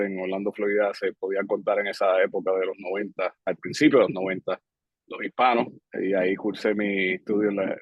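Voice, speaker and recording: A man, answering interview questions in a relaxed, measured way with frequent hesitations. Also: fairly low pitch, dry recording. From Puerto Rico. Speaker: guest, public safety director. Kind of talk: casual interview talk. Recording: dry, slightly dull podcast audio.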